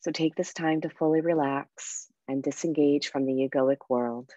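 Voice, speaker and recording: Woman, meditation guide, gentle and unhurried, level intonation, pauses between phrases, slightly dull lo-fi sound. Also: low-pitched, steady tone, no echo. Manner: soft, unhurried, soothing delivery with pauses. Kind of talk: guided meditation with breathing instruction.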